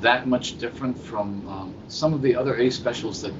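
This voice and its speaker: An older man co-hosting a casual discussion, tentative and halting as he thinks aloud. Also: medium pitch, recorded from a distance. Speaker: podcast co-host. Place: live convention recording over hotel internet. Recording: muffled, noisy internet call.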